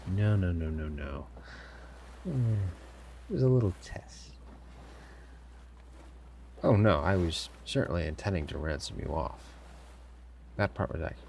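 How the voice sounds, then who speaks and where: A man playing a sly, commanding pirate captain in an audio roleplay, smugly amused and theatrical, with deliberate, formal phrasing, teasing pauses and a gravelly voice. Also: sits low, expressive, darkish tone, a little hiss or hum, exaggerated voice. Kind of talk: scripted immersive audio roleplay addressed to listener.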